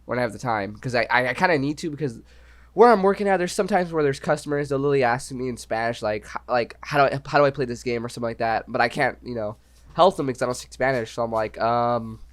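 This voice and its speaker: A young man co-hosts a casual podcast chat in a middle-pitched voice. He rambles loosely and keeps checking in with "you know what I mean" as he talks. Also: fast. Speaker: podcast co-host.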